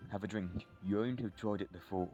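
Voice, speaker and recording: A man playing a gentle, thoughtful schoolteacher in an audio drama, with a measured, kindly way of talking. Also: sits low, steady tone, dull audio, soft background music. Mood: kindly, encouraging. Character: gentle, thoughtful male schoolteacher mentoring a pupil. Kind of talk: fan audio drama acting.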